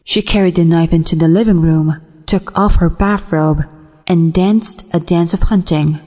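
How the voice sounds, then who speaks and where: A woman giving a dramatic reading of a horror story in a measured, suspenseful manner. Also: fairly low pitch, steady tone, dark tone. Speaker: voice actor. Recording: long reverb, music underneath. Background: music.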